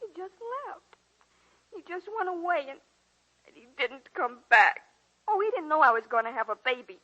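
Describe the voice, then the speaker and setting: A woman's voice: high voice, big pitch swings, normal speed, bright, forceful tone, lo-fi sound, heavily acted. An actress playing an anxious, pleading, girlish young woman in a 1940s radio drama. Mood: frightened, tearful. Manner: breathless, emotional, girlish.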